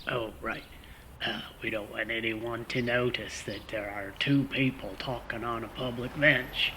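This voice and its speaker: A man playing a dim, excitable spy with an affected British accent in a comedic radio play, noisy recording. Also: mid-range voice, unhurried. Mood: cheerfully clueless. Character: dim, excitable male spy posing as suave.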